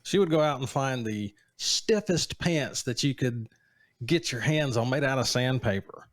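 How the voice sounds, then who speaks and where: A man's voice: mid-range voice, animated, measured pace, no room sound. A man in his late forties co-hosting a casual chat, teasing with dry jokes and banter. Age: 40s. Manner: wry, joking banter. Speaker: podcast co-host.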